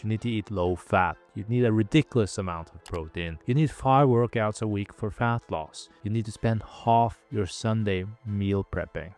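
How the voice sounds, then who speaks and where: A fitness coach talking straight to camera in a scripted video, direct with a dry, joking manner. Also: male voice, lowish voice.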